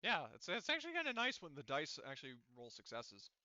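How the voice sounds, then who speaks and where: A man acting as game master in an online tabletop session, talking haltingly as he thinks aloud through rules and dice tallies. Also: on the high side, huge pitch swings, pushed and bright, no echo, muffled recording.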